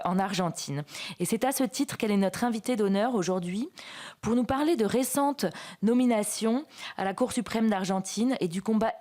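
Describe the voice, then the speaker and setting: A French woman speaks at a conference, opening the session and introducing a guest. She pauses often, restarts her sentences and fills gaps with hesitation sounds. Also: medium pitch, mellow. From France. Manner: hesitant, many fillers and restarts. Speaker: association president, moderator.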